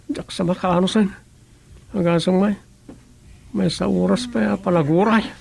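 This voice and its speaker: An actor playing an ailing, groaning husband in an Ilocano radio drama-comedy, with an Ilocano accent. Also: male voice, high voice, steady tone, moderate pace, gravelly. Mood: weary, complaining.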